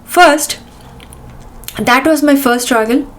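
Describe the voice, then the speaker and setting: A woman's voice: high-pitched, slow, fairly bright tone. A middle-aged woman from India, a native Bengali speaker with a Bengali-accented English, hosting a casual podcast monologue with many fillers and restarts. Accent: Bengali-influenced English. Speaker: podcast host.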